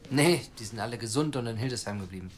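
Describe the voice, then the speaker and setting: A man's voice: mid-range voice, expressive, fast talker, slight background noise, natural voice. Casting: actor for earnest, persuasive man wanting a child, mid-range voice, natural radio-play delivery, faint background ambience. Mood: chatty, cheerful. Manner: eager, talkative, persuasive. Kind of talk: naturalistic audio drama acting.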